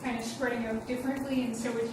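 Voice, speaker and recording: High-voiced woman, distant in echoey room. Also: flat delivery, fast talker, noisy background. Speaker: student asking questions. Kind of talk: spontaneous classroom question. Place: lecture room, far from mic.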